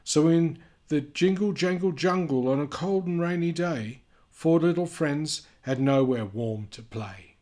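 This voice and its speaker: A man reading a children's picture book aloud, gently and expressively, with chatty asides. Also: a little high, a little slow, hardly any pauses.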